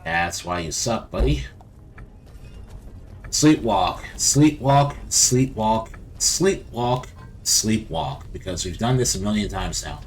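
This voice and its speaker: A man's voice: fairly low pitch, expressive, slow pace. Man rambling casually over faint noise. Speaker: gaming video host. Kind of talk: casual game commentary.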